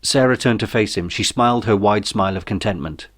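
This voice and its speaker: A man's voice: lowish voice, a little fast, dry recording, studio quality. A man reading a comic novel aloud as its narrator, with a wry, theatrical delivery. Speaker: author reading his own novel. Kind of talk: comic scripted audiobook narration.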